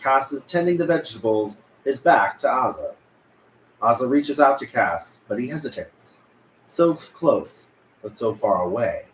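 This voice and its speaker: A man's voice: mid-range voice, lively intonation, measured pace. A man narrating stage directions at a script table read. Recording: echoey room, faint noise.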